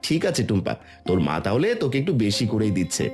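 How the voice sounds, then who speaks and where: A man's voice: lowish voice, sing-song, quick pace, darkish tone, soft background music. A man voicing a kindly village food seller and cheerful father in a cartoon. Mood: warm, fatherly. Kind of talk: cartoon voice acting.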